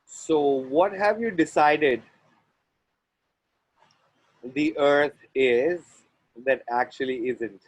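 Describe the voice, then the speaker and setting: A man from India with an Indian accent, facilitating an online group call from outdoors near the ocean. He speaks in deliberate, chant-like repetitions, guiding listeners through clearing phrases. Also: on the high side, rough recording.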